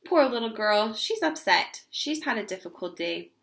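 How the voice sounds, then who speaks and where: A woman voicing a patient, practical storybook mother in a tired but calm manner. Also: on the high side, normal speed. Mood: tired, soothing.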